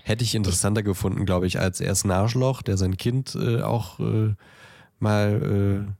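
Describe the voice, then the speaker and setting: A low male voice in a dry, close recording. He talks casually as a podcast co-host, feeling his way through sentences with halting repetitions. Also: steady tone, creaky, mellow, no echo. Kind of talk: casual chat about films.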